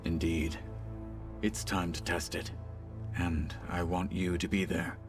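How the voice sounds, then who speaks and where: A stern, proud warrior of a tribe speaks in a deep voice. His phrasing is formal, clipped and solemn, in the dramatic manner of a video game, with music playing behind him. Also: level tone, slow. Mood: resolute, courteous.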